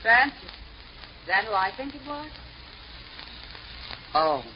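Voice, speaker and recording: An actress playing a scolding but soft-hearted girlfriend in a 1940s radio drama, with expressive delivery. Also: a little high, slow pace, a little hiss or hum. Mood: peeved. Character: peeved, affectionate woman scolding her late boyfriend. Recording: muffled old radio recording, loud music.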